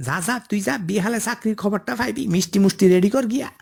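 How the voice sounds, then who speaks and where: পুরুষের গলায় চড়া গলার ফন্দিবাজ অফিস কেরানি. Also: male voice, high voice, fast talker, gravelly, breathy edge, full character voice. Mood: cocky, scheming. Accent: eastern Bengali dialect. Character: scheming, cocksure male office clerk, eager meddler. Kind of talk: comic cartoon dialogue.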